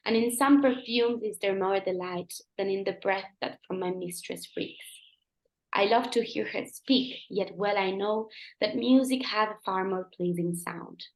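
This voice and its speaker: A woman's voice: medium pitch, a little slow, reverberant, poor audio. A teenage woman co-hosting a podcast, chatting casually with frequent "like" and "um", and reading a sonnet aloud. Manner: uses "like" and "um" often. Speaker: podcast co-host. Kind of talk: casual chat and poetry reading.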